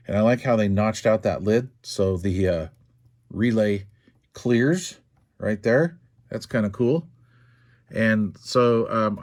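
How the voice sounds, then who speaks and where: An older man with an American accent and a fairly low voice. He talks through hands-on repair work casually and without hurry, thinking aloud in a plain, folksy way. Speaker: radio repair video host.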